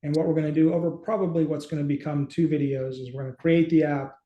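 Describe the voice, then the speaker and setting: A middle-aged man hosting a casual screen-share walkthrough, speaking haltingly as he thinks aloud. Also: a little high, fairly even intonation, muffled recording. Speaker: host and app company team member. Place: small indoor room, video call. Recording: roomy, echoey home room.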